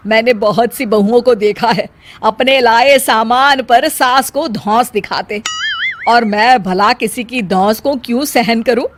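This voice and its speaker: A proud, stubborn mother-in-law of middle age played by a woman in a moral story. She speaks slowly and emphatically in a high voice, scolding and taunting as she goes. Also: faint music behind, natural voice. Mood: indignant. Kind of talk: acted dialogue in a Hindi moral story.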